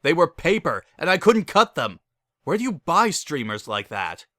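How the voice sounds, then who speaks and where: An exasperated cartoon unicorn, read by a man, easily sidetracked. The voice is plain and grumbling, bright in tone, with lively swings of pitch. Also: moderate pace, no room sound, clean studio sound. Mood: aggrieved.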